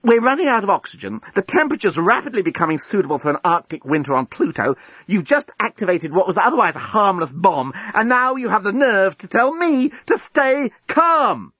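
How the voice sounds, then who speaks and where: Sarcastic storybook heroine, played by a male reader: very high, husky, swooping, scolding and exasperated. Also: big pitch swings, moderate pace, few pauses, fairly bright tone, no room sound, highs cut off, exaggerated voice. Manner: shrill, scolding, emphatic. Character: exasperated, sarcastic young woman, quick to yell. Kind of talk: storybook audiobook character voice.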